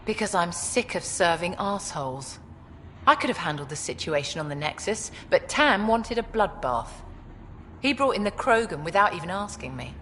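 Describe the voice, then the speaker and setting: A woman's voice: fairly low pitch, expressive, moderate pace, a bit bright, long reverb tail, a little hiss or hum. A woman playing a hardened, short-tempered outlaw leader in a video game, speaking in a blunt, menacing way. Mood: angry, defiant. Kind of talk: video game cutscene acting.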